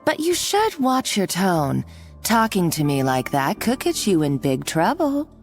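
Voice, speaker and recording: Game villain, female, lowish and very expressive, condescending schemer. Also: unhurried, soft background music, exaggerated voice. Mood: mocking. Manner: mocking, condescending. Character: scheming, scornful woman villain. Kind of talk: video game voice acting.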